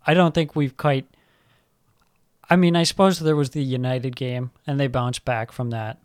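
A man's voice: mid-range voice, fairly even intonation, normal speed, dry recording. Easygoing man, dry close sound. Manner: hedging, laughs often, uses fillers. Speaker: podcast co-host. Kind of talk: casual football podcast chat.

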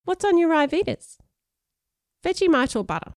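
Woman as an earnest, high-voiced schoolboy. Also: very animated, moderate pace, a little mellow, no room sound, clean studio sound, full character voice. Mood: friendly, curious. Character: awkward, earnest schoolboy pleading with a friend.

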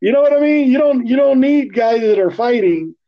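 A man co-hosting a podcast, chatting casually in profane slang with an animated, teasing, ranting manner. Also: extremely high pitch, brisk. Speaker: podcast co-host. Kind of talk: casual, slangy movie chat. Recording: dull, lo-fi podcast audio.

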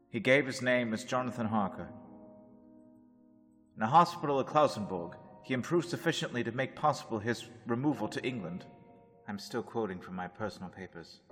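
A man as a formal, measured doctor telling the story in a lowish voice, like an old radio play. His narration hangs in a long hall reverb over faint music. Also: close to an everyday voice. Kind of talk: 1930s radio drama narration.

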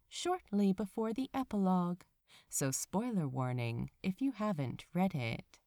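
A woman reading a story aloud as an expressive audiobook-style narrator. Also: on the low side, no echo, clean studio sound. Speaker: podfic reader, narrator.

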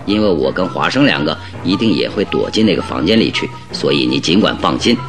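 A man playing a shrewd, calm detective in a Mandarin radio drama, speaking deliberately and with emphatic instruction. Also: rumbling low, music in the background. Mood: firm, instructing. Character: shrewd, commanding male detective, calm and exacting.

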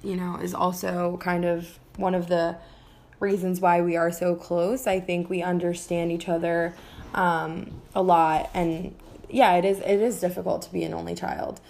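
A young woman from Colombia co-hosting a podcast, soft-spoken, with lots of hesitant "um"s. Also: fairly low pitch, level tone, moderate pace, vocal fry. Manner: soft-spoken, many ums, gentle laughs. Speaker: podcast co-host. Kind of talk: casual podcast chat.

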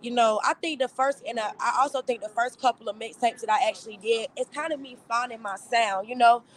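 A woman rapper with a raspy voice, chatting easily as an interview guest with lots of fillers. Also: fairly high pitch, brisk. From Charlotte, North Carolina. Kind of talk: casual interview chat.

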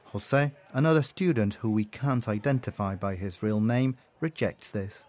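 A man, a foreign correspondent, narrating a scripted radio news report in a calm, measured way. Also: lowish voice.

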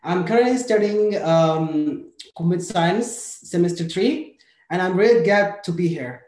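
A man's voice: high-pitched, a little slow, a little mellow. A young man from Somalia, a student volunteer, talking hesitantly with many fillers and restarts. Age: young adult. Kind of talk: casual podcast discussion. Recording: roomy echoey recording.

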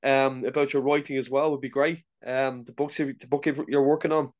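Halting man hosting a podcast interview. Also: medium pitch, flat delivery. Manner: halting, rambling questions with frequent restarts. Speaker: podcast host. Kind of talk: casual interview chat.

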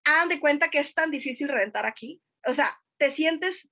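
A woman's voice: high voice, normal speed, sharp tone. A woman in her thirties from Sonora with a northern Mexican accent, telling personal stories in a casual podcast chat, hesitant with many fillers and tag questions. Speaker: podcast guest. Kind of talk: casual conversational storytelling.

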